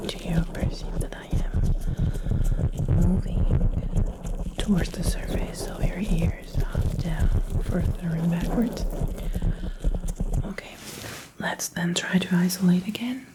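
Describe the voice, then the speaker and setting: A woman whispering an ASMR medical roleplay as a doctor, gently and attentively giving instructions. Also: fairly low pitch, slow pace, long pauses, low-quality recording.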